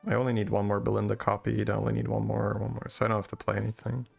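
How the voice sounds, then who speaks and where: A man's voice: fairly low pitch, little pitch movement, very fast, creaky. A man from Belgium with a Flemish accent, whose first language is Dutch. He streams a game live, thinking his plans aloud and tagging them with "right?". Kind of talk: live gameplay commentary. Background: faint game music.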